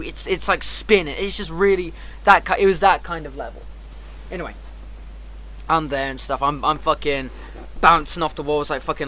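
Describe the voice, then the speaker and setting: A man casually telling a rambling, animated anecdote on a livestream, acting out the dialogue and swearing often. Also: a little high. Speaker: streamer. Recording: clearly noisy background.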